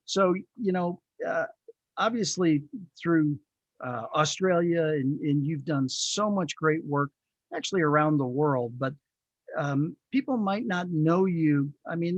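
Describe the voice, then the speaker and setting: A man's voice: a little high, dry recording. A man hosting a conversational interview, chatty and complimentary, with hesitant phrasing. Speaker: talk show host.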